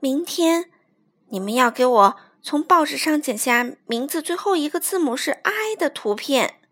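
Woman as storybook lady teacher, strict, easily startled, high-pitched, swooping, instructive. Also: animated, moderate pace, fairly bright tone. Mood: instructing, firm. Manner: commanding, instructive.

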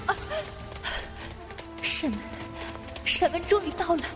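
A woman's voice: very high-pitched, expressive, slow, music in the background, full character voice. A woman playing a playful, earnest young swordswoman in a Chinese wuxia radio drama, with a hesitant, coaxing, theatrical delivery. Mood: flustered, alarmed.

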